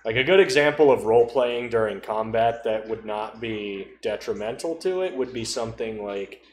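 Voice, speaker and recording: A man's voice: on the low side, moderate pace. A man in casual conversation, explaining things in a somewhat hesitant way. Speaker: podcast participant discussing tabletop games. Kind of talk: casual chat. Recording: roomy echo with faint noise.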